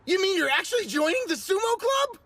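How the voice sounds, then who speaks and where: A man's voice: very high-pitched, exaggerated voice. A jumpy, stammering teen boy. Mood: overjoyed. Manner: nervous, stammering, quick to shout with excitement. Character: timid, excitable teen boy, the lone sumo club member. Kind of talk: English anime dub acting. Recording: dub with music score behind.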